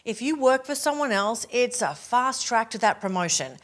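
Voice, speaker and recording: A woman in her forties with an Australian accent, giving a polished, upbeat scripted pitch straight to camera from a living room set. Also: lively intonation, moderate pace. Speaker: presenter promoting her keynote talks.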